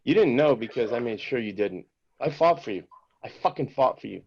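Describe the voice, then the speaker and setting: A man's voice: medium pitch, expressive, fairly quick, husky, lo-fi sound. A man reading an angry, bitter male showrunner in a table read, heated and profane.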